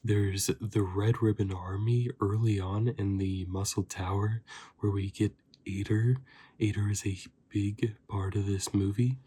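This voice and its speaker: A man giving a softly spoken ASMR review, hushed and sometimes slipping into whispers. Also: fairly low pitch, fairly even intonation, unhurried, slightly rough, no echo. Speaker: host of an ASMR review channel.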